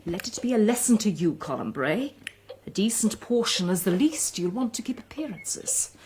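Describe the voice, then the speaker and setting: An actress playing a haughty, imperious middle-aged society wife in a radio period drama, formal and affronted in manner. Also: female voice, medium pitch, animated, moderate pace, darkish tone, lightly acted. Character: haughty, status-conscious society wife and mother. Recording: noisy old radio broadcast.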